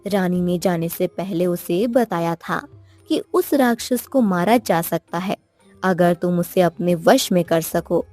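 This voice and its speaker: A woman telling a scripted moral story as an expressive narrator. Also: lowish voice, takes it slowly, no echo. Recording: music behind the voice.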